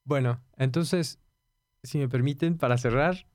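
A man's voice: mid-range voice, unhurried, a little mellow, dry recording, clean studio sound. A man hosting a podcast, talking casually and searching for words as he thinks aloud. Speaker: podcast host.

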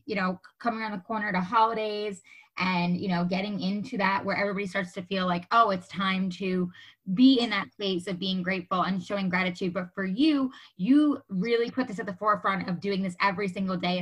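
A woman's voice: medium pitch, fairly even intonation, brisk, a bit bright, poor audio. A woman hosting a podcast, asking long, roundabout questions in a chatty, complimentary, conversational way. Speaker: podcast host. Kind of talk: conversational interviewing.

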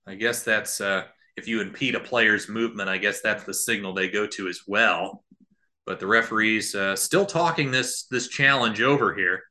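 Bright, forceful male basketball play-by-play caller. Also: lowish voice, moderate pace. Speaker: podcast host doing play-by-play. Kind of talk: live basketball radio play-by-play commentary.

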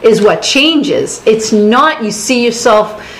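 Brief: Boston woman podcast co-host, slightly high voice, reflective and rambling, echoey room with faint hiss. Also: lively intonation, slow, a bit bright. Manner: thoughtful, talks in restarts and asides. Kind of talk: casual discussion of a self-help book.

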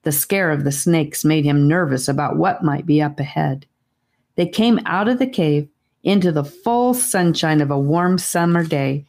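A woman with a low-pitched voice narrates a children's audiobook. She reads scripted fantasy adventure prose as a storyteller. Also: normal speed, a little mellow.

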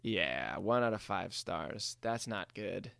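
Bright-voiced man explaining emphatically, close and dry. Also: deep voice, animated, slow pace, gravelly, clear, resonant tone, dry recording. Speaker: podcast co-host. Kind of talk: casual movie discussion.

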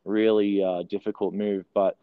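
Low-voiced man with a mixed Aussie-Kiwi accent. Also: level tone, moderate pace, clear, resonant tone, no echo, narrow, tinny audio. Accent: mixed Australian and New Zealand. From New Zealand, raised in Australia. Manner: chatty, storytelling with frequent fillers and restarts. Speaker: guest, strength and conditioning coach. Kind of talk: casual interview conversation.

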